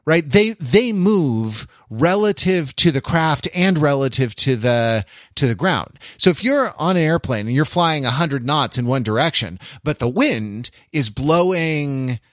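A man hosting a casual discussion podcast, explaining things at length in a halting, backtracking, wry way. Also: a little high, expressive, fairly bright tone, no echo, clean studio sound. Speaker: podcast host.

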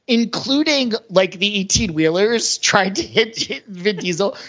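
A man's voice: high voice, expressive, measured pace, fairly bright tone, dull audio. A man co-hosting a podcast, casually picking things apart in an analytical, wry, rambling way. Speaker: podcast co-host. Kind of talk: casual film discussion.

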